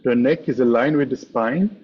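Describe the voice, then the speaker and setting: A man leading a guided meditation as a teacher, speaking in measured, instructive short phrases with pauses. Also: medium pitch, muffled recording, a little hiss or hum. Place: online meditation session over video call.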